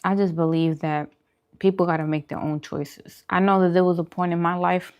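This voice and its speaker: A woman's voice: fairly low pitch, fairly even intonation, normal speed, a little mellow, smooth. A woman vlogger chatting casually while eating, talking in an informal, musing, conversational way. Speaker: vegan food vlogger.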